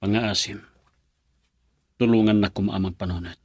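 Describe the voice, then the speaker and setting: A man playing a low-voiced, insistent man. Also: fairly even intonation, normal speed, husky, no echo, clean studio sound. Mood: urgent. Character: troubled man seeking secret information. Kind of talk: Ilocano radio drama acting.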